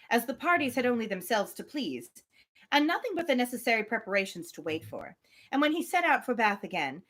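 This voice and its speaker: A woman's voice: a little high, fairly bright tone. A woman hosting a live book reading, reading expressively and dropping in casual, amused asides. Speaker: host reading a novel on a livestream.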